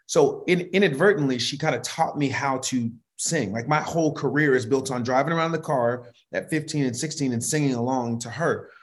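A middle-aged man with a high voice, a worship leader telling stories in a relaxed, animated way in an interview, laughing often and restarting sentences. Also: a little fast, hardly any pauses. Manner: animated, laughs often, frequent restarts and "you know what I mean". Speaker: worship leader and vocal producer, interview guest. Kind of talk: relaxed conversational storytelling.

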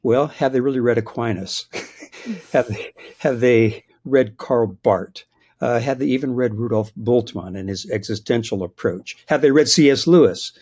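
A man's voice: medium pitch, measured pace, darkish tone, slightly rough. A man in his sixties, a biblical scholar answering interview questions in a reflective, hesitant way with frequent restarts. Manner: thoughtful, hesitant restarts, chuckles at his own points.

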